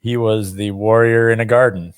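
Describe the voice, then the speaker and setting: A man's voice: lowish voice, steady tone, moderate pace, clear, resonant tone, no room sound, studio quality. A man co-hosting a casual podcast, talking in a rambling, reflective way with many fillers. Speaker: podcast co-host, martial arts teacher.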